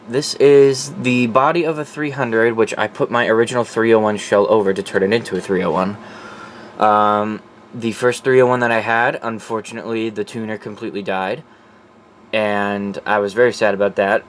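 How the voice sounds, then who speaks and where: A man's voice: mid-range voice, steady tone, moderate pace, a little hiss or hum. A man presenting his collection in a casual, off-the-cuff, informal way, sometimes profane. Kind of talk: casual off-the-cuff show-and-tell.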